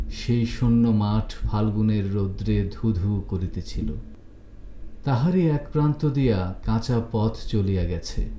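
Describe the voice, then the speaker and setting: A man's voice: lowish voice, warm tone, slight background noise. A man narrating a literary short story as a scripted audiobook reading. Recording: roomy echo on the voice.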